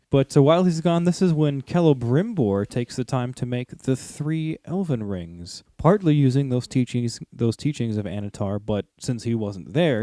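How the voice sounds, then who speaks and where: A man's voice: medium pitch, dark tone, no room sound, clean studio sound. A man co-hosting a podcast, explaining things in a casual, informal way. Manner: informal, joking asides. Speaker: podcast co-host.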